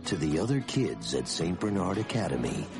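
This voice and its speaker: Very deep gravelly man, movie trailer narration. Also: big pitch swings, moderate pace. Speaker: trailer announcer. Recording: loud music under voice. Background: loud trailer music.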